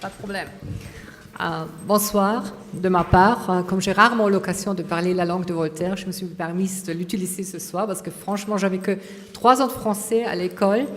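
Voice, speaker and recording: A woman's voice: medium pitch, steady tone, fairly quick, a little hiss or hum. Woman lecturing in an echoing hall. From Austria. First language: German. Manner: hesitant, many fillers and restarts. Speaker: conference speaker.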